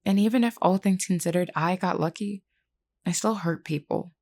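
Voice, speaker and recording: A woman voicing a gentle, candid vampire queen in an audio roleplay, speaking in a measured, reassuring way with formal wording to one listener. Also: on the low side, natural voice. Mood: regretful.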